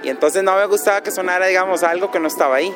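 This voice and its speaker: A man's voice: sits high, racing, noisy background. A man from Costa Rica, a street guitarist, telling his story in an easygoing, hesitant way. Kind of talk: casual interview answers.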